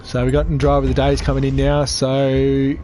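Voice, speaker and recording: A man commentating an online racing league, croaky from losing his voice, upbeat and chatty with viewers. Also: medium pitch, completely flat delivery, normal speed, dark tone, slight background noise. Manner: upbeat, chatty, reads out chat. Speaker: race commentator. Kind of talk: live sim racing commentary.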